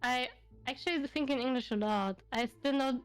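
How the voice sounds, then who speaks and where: A woman's voice: high voice, moderate pace, smooth. A woman from Belarus with a German accent, Russian her first language, chatting casually as a VTuber guest with lots of fillers and restarts. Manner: rambling, many fillers and restarts. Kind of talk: casual chat on a livestream.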